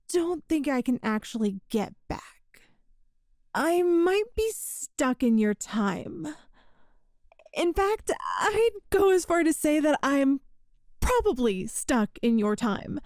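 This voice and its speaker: A woman's voice: sits high, a little mellow, dry recording, studio quality, heavily acted. A woman playing an awkward, chatty time traveler from the far future in an ASMR roleplay, rambling and hesitant. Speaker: audio roleplay performer.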